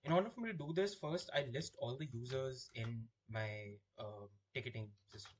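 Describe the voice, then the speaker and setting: A man with a slightly husky voice giving a software demo, explaining things step by step as a product demonstrator. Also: medium pitch, normal speed, a little hiss or hum.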